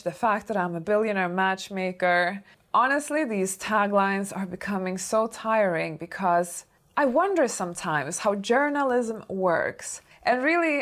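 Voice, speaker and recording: Woman, from Sweden, Swedish native speaker, vlog host, earnest, explanatory, mid-pitched. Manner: earnest, explaining and setting the record straight. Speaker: YouTube host, elegance educator. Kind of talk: casual talking-to-camera vlog.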